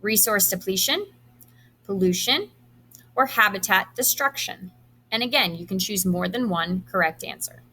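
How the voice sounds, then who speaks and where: A bright, crisp-edged voice from a woman who teaches high school. She reads questions and answer options aloud in an instructional way, setting out each item deliberately. Her pitch sits in an average range for a woman. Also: expressive. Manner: instructional, enunciates quiz items deliberately. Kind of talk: reading quiz questions aloud to students, with brief instructions.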